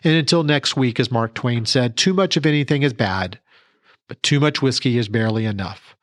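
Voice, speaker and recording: A man presents a scripted how-to segment for a podcast. His voice sits at a medium pitch, and the sound is close and dry, with no room around it. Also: moderate pace, no room sound. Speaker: podcast cocktail segment reporter. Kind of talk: instructional scripted narration.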